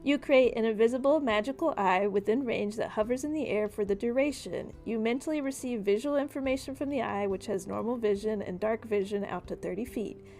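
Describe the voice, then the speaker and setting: Higher-voiced woman running a tabletop game. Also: brisk, hardly any pauses, darkish tone. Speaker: game master. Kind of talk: narrating a tabletop game, reading out rules. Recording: music behind the voice. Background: background music.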